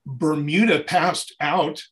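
A man with a notably high voice speaks as a guest in an interview. His style is informal and animated, like a musician swapping tour stories. He acts out what people said and repeats phrases to drive them home. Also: slow pace. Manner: acts out dialogue and sounds while telling stories. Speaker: interview guest, touring keyboard player. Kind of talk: casual animated anecdote telling.

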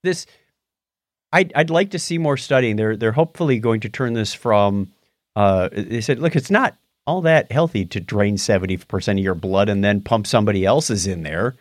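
Male podcast host, animated intonation, halting casual delivery, slightly skeptical, dry recording. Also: fairly low pitch, dry recording. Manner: halting, conversational, mildly skeptical. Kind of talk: casual tech news chat.

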